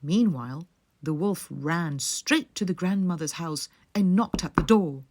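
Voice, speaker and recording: Slow, soothing woman bedtime storyteller. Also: fairly low pitch, animated, a little mellow. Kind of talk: slow, soothing scripted fairy-tale narration for sleep.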